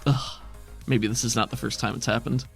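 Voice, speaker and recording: A man at a middle pitch narrates a tabletop game, laid back and full of prompting questions. A faint bed of music sits under his voice. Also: brisk, vocal fry, husky. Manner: relaxed, prompting questions and joking asides. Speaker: game master.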